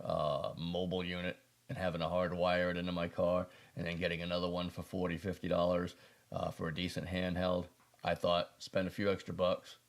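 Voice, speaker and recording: Casual male gear reviewer, low-pitched with a creaky edge, folksy and unscripted. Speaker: product reviewer.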